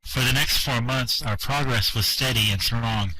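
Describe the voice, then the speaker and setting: A man narrating a first-person story in an amateur radio drama with steady prose reading. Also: mid-range voice, normal speed, slight background noise, rough recording.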